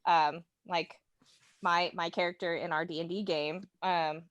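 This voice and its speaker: A woman's voice: fairly low pitch, fairly even intonation, measured pace, dry recording, lo-fi sound. A woman speaking informally as a guest in a casual podcast chat about games, acting out little reactions. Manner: informal, imitates sound effects and reactions. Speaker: podcast guest, listener of the show.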